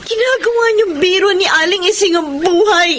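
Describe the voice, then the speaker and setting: A woman's voice: extremely high pitch, normal speed, over loud music. A woman playing a long-suffering, scolding daughter, earnest and emotional, in a Tagalog radio drama. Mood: tearful, reproachful.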